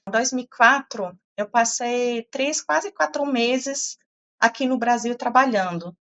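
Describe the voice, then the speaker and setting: A woman's voice: a little high, a little slow, fairly bright tone, lo-fi sound. A middle-aged German-born woman with a European Portuguese accent, a climate science professor answering interview questions thoughtfully, with many fillers and restarts.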